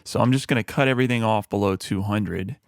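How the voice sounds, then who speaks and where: A man with a low voice, talking through a music mixing tutorial in a relaxed, explanatory way with frequent fillers. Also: quick pace, creaky, dry recording, studio quality. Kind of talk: screen-recorded mixing tutorial.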